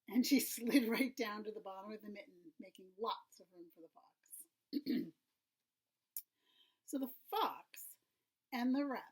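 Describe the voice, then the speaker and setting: A woman reading a children's story aloud, expressive and often dropping to a hushed, breathy tone. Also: a little high, poor audio.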